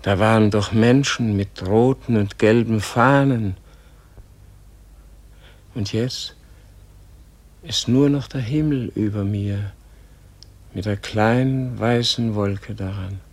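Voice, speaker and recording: Husky, very slow dying old sage. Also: male voice, fairly low pitch, steady tone, darkish tone. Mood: gentle, wondering. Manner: slow, halting, solemn. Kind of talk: radio play acting.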